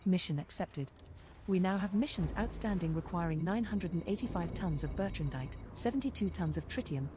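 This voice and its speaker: A woman playing a composed, sly spaceship computer assistant in a game voice pack, speaking in precise, measured phrases. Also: fairly low pitch, animated, background noise, close to an everyday voice. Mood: composed, formal. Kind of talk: scripted game voice-pack announcements.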